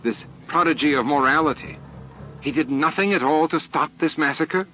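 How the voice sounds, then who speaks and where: A man's voice: on the high side, normal speed, pitched above the performer's own voice, close to an everyday voice. A man voicing a fierce, snappish elderly female alien scientist in an audiobook drama. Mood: indignant, scornful. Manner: snappish, forceful, righteous. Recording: dull lo-fi audio with music.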